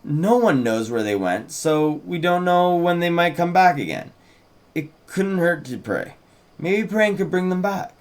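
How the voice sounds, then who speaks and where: A man's voice: high-pitched, sing-song, unhurried, pitched above the performer's own voice. A man reading the part of a supportive, hopeful teenage girl in a fantasy script, earnest and encouraging.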